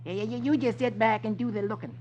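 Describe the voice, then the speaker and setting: A man's voice: high-pitched, steady tone, fast, a little mellow, pitched above the performer's own voice, natural voice. A man voicing a small, eager, simple lizard with a folksy stammer, in 1950s radio acting style. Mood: eager, hesitant. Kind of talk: 1950s radio funny-page character acting. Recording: muffled, noisy 1950s radio recording.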